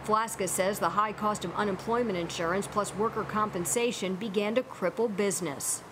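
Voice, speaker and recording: Woman, news host, scripted narration, even delivery, faint hiss behind. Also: mid-range voice, moderate pace.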